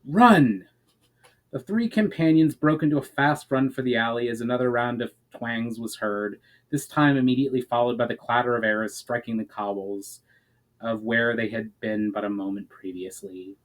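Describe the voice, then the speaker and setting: A man's voice: mid-range voice, normal speed, rough recording. A man reading his own fantasy story aloud as a dramatic narration.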